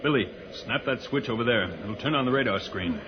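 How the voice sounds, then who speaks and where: An actor playing a calm but urgent heroic space adventurer in an earnest, commanding 1950s radio serial style. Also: male voice, mid-range voice, a little fast, heavy background noise, natural voice. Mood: urgent, instructing. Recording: muffled old radio transcription, music behind.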